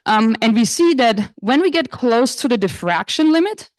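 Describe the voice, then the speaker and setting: Young croatian-born woman lecturing, kinda high voice, loose chatty delivery, dry sound. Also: animated, moderate pace, no echo. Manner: casual, uses fillers and "like". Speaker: astronomy lecturer. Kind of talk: conference lecture. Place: lecture hall.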